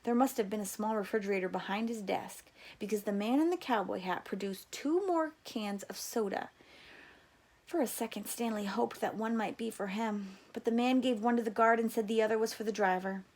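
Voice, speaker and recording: A woman reading a children's novel aloud as a teacher, with expressive storytelling delivery. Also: mid-range voice, fairly quick.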